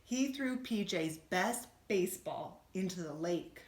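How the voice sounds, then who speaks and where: A woman with a slightly low voice reads a children's storybook in a roomy, echoing space. She is expressive and speaks directly to young listeners, gently putting questions to them as a teacher would. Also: a little slow. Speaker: schoolteacher reading a bedtime story. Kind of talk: children's storybook read-aloud with asides to listeners. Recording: roomy, echoey room sound.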